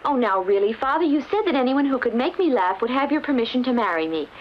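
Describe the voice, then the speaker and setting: Woman acting young fairy-tale princess, insistent, lilting high voice, theatrical, muffled noisy recording. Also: expressive, fast, close to an everyday voice.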